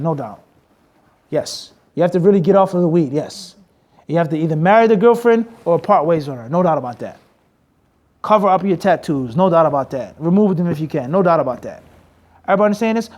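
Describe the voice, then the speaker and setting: A man's voice: high voice, a little mellow. A man teaching in a mosque, preaching emphatically and asking the crowd rhetorical questions. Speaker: Islamic teacher. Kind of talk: lecture with Q&A.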